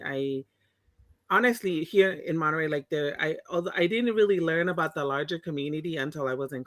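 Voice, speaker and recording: A woman's voice: sits low, no room sound. A woman from Tonga, an interview guest telling her personal story in a reflective, candid way, often restarting her sentences. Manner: reflective, frequent restarts and self-corrections.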